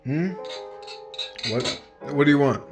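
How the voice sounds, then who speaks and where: Slow, halting, despondent husband acted by a man. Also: on the high side, over music. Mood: awkward, distracted. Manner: halting, hesitant, trailing off. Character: despondent man walking out on his marriage. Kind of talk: 1950s radio drama acting.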